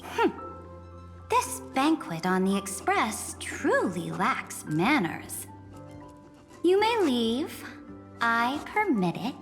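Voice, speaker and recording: A woman's voice: on the high side, huge pitch swings, slow pace, long pauses, in a large hall, soft background music, full character voice. A woman playing a haughty, formal young empress in a video game, speaking in a regal, pompous, commanding way.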